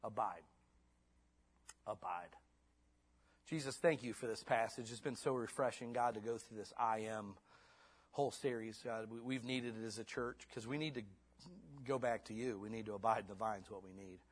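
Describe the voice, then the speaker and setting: A man in his fifties preaching a sermon in church. He swings between hushed, intimate lines and fervent, rising exhortation, then closes in prayer. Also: on the low side, moderate pace, slightly rough. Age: 50s. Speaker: pastor.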